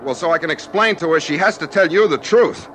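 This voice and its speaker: A man's voice: lively intonation, fairly quick, fairly bright tone. An American man playing a desperate, hard-boiled driver held at gunpoint in a 1940s radio drama, with a wry, pleading manner. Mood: anxious pleading. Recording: noisy 1940s radio transcription, engine rumble.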